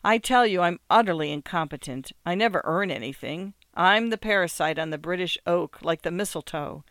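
A man's voice: sits high, moderate pace, fairly bright tone, dry recording. A man voicing a wealthy wife in a short story, mocking and theatrically gushing, with ironic, condescending exclamations. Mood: excited, gushing. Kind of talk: acted literary short story.

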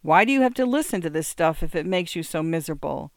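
A man's voice: a little high, lively intonation, fast, no echo, studio quality. A reader voicing a tired, exasperated husband who speaks curtly and wearily to his distraught wife. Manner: weary, curt, irritated.

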